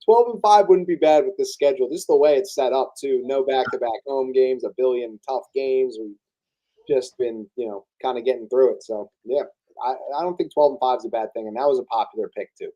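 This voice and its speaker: A young man with a very dark, mellow voice co-hosts a sports podcast. He talks loosely and casually, trips over fillers and restarts his sentences as he works through his points. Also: medium pitch, brisk, muffled recording. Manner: hesitant, many restarts and fillers. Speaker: podcast co-host.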